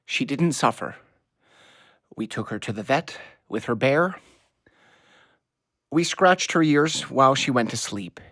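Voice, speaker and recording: An awkward, anxious middle-aged father in an audio play. He, with halting, earnest speech that swings widely in pitch. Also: lively intonation, fairly bright tone, no echo, clean studio sound. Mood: gentle, sorrowful. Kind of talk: naturalistic audio play acting.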